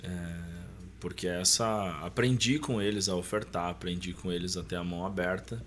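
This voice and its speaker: A man's voice: sits low, fairly even intonation, normal speed, a little hiss or hum. A man with a Brazilian Portuguese accent speaking as a podcast guest, colloquial and emphatic, explaining with examples in a preacher-like way. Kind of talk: casual conversation with preaching tone.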